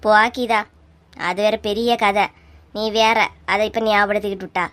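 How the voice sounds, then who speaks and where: A woman's voice: mid-range voice, steady tone, normal speed, lightly acted. A woman voicing a grumbling, easily offended middle-aged village woman in a cartoon, with a Kanyakumari Tamil accent. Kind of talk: cartoon comedy dialogue.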